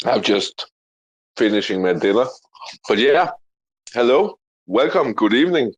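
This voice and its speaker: A man's voice: mid-range voice, very animated, unhurried, slightly rough. A man, a regular on the show, chatting casually over a dull, lo-fi connection. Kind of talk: casual chat.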